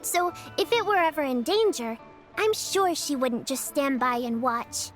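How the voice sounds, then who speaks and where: A woman's voice: high-pitched, lively intonation, unhurried, soft background music. A woman voicing a cheerful, caring young girl in a video game, patiently explaining things in a singsong, reassuring way. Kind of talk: video game character dialogue.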